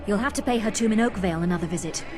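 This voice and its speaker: Self-assured female adventurer for a fantasy game, woman actor; mid-pitched, dry, matter-of-fact, music behind. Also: racing, natural voice. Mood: wry, explaining.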